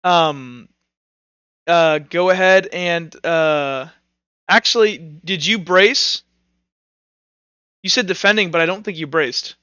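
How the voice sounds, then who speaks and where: A man running a tabletop roleplaying game as game master, narrating casually and haltingly as he thinks aloud. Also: a little high, bright, forceful tone, clean tone, no echo, muffled recording.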